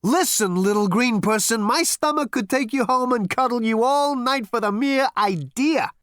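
A man voicing a brash, excitable alien hipster in an audiobook, cocky, slangy and full of exclamation. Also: very high voice, measured pace, no echo, studio quality, voice pushed up, heavily acted. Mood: excited. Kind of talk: audiobook character voice.

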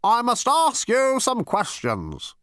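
A man's voice: high-pitched, very animated, measured pace, a bit bright, dry recording, studio quality, voice pushed up, exaggerated voice. A man voicing a stiff, indignant male official in a storybook, questioning insistently and with exasperation. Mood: stiff, probing.

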